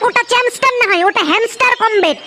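Cocky cartoon son, north bengal accent, super squeaky sped up sound, begs and brags really fast. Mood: insistent. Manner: pleading, bragging, coarse-mouthed. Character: boastful lazy son pestering parents for money. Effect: pitched-up cartoon voice. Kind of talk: comedy cartoon dialect dialogue. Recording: loud background noise with clicks.

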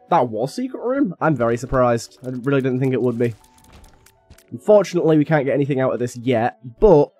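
A young man with a slightly raspy voice from being a little ill, chatting casually and thinking aloud as he plays a game. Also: mid-range voice, dark tone, dry recording. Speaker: gaming YouTuber. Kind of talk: casual gameplay commentary.

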